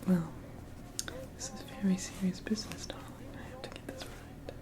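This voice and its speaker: A tender, teasing sweetheart from the early 1900s acted by a woman in an ASMR roleplay. She speaks mostly in a soft, breathy whisper at a middling pitch. Her manner is gentle and coaxing, with a playfully bossy edge. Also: normal speed, natural voice. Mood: mock-stern, fond.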